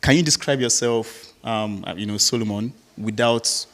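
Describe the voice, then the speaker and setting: Male event host, lowish voice, informally putting questions to a guest live. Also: moderate pace, a bit bright.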